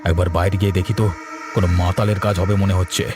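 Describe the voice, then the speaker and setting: A man's voice: low voice, flat delivery, fast talker, over music, natural voice. A man voicing a stubborn, idle grown village son in a cartoon, argumentative and self-important. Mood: alarmed, angry. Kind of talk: cartoon voice acting.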